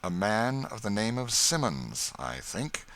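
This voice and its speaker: A man voicing a courteous young gentleman in an audiobook, frank, polite and informative. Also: lowish voice, big pitch swings, a little slow. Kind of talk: audiobook character dialogue.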